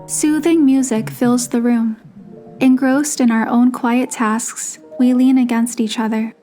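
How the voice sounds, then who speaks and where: Higher-voiced woman narrating a story over music. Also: fairly even intonation, a little slow, warm tone. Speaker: narrator and voiced player character of a game story. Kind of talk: scripted dramatic story narration. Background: music behind the voice.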